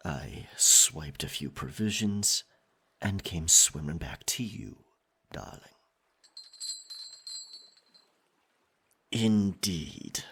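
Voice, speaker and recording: A man's voice: sits low, pushed and bright, heavily acted. A man playing a possessive, roguish merman pirate captain in a theatrical British pirate accent, in an intimate, often whispered ASMR roleplay. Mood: roguish, dramatic. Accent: stage pirate British. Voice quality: breathy, husky, often whispering. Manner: theatrical, drawling endearments.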